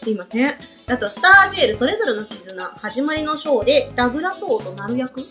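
A woman with a high, very lively voice hosts a casual group radio chat. She talks quickly, teasing and apologising in a giggly way. Music plays behind her. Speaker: radio show host. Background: background music.

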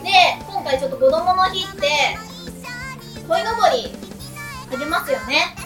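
An excitable, giggly woman with a youthful, girlish voice, hosting a radio talk show with high energy. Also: normal speed. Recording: roomy, distant mic, music behind.

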